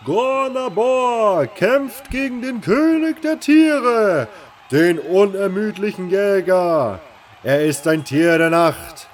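Fanatical fantasy warrior, loud drawn-out proclamation, very high strained pitch, slow, music behind. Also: male voice. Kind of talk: fantasy audio drama declamation.

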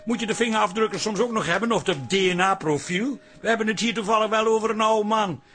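A man's voice: high-pitched, quick pace, sharp tone, soft background music. An actor playing a sarcastic, exasperated husband and father in a radio drama, grumbling sardonically.

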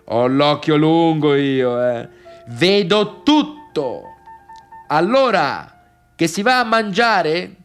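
A man's voice: on the high side, big pitch swings, slow, clear, resonant tone, soft background music, full character voice. A man playing a jovial, boastful older father in stage dialogue, hearty and emphatic. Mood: jovial, pushy.

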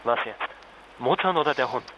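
Radio drama: exhausted journalist husband male actor, clipped and urgent, colloquial, muffled sound over clear background noise. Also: medium pitch, expressive, moderate pace, fairly bright tone, natural voice. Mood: shocked, worried. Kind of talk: naturalistic radio drama dialogue.

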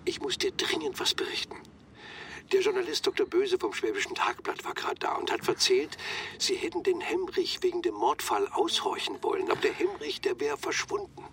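An actor playing a helpful, gossipy bookseller with a Swabian accent, phoning a friend in a radio play. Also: male voice, mid-range voice, wildly swooping pitch, normal speed, recorded from a distance, noisy background. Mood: urgent, confiding.

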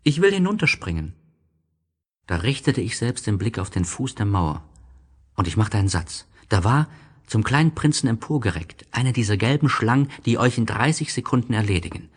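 A man reading scripted literary narration as a storyteller, expressive and dramatic. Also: fairly low pitch, fairly quick, breathy edge.

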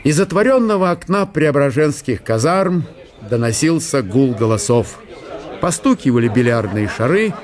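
A man's voice: mid-range voice, lively intonation, moderate pace, some chatter behind. A man narrating a literary historical radio drama as a scripted storyteller.

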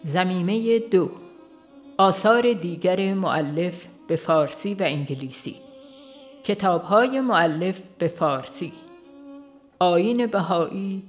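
An audiobook reader, giving a scripted narration of a religious book. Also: medium pitch.

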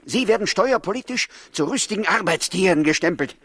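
An embittered man of ancient Judea with a fairly high voice. He is sarcastic and impatient, goading and challenging in the theatrical manner of a 1960s radio play. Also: lively intonation, fairly quick, fairly bright tone, no room sound, exaggerated voice. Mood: sardonic. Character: bitter, sceptical, rebellious man of ancient Judea. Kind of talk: 1960s German radio drama acting.

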